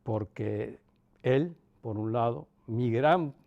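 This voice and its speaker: Guy in his 60s, cuban accent gone a bit castilian, middle pitched voice, looking back on his life, pauses and repeats for emphasis. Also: vocal fry, a little mellow, lo-fi sound. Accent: Cuban softened by Castilian Spanish. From Cuba. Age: 60s, about 64. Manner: measured, pausing, emphatic repetition. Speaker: interviewee. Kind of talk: reflective personal reminiscence.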